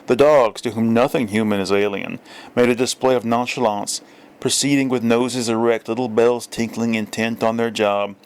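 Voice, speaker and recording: A man reading a literary story aloud as narrator, with measured, deliberate phrasing. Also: mid-range voice, steady tone, a little hiss or hum. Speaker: audiobook reader.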